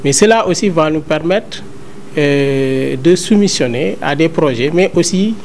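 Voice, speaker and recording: A man's voice: a little high, expressive, a little slow, darkish tone, slight background noise. A man with a Senegalese French accent giving a formal statement as a local official, in official phrasing.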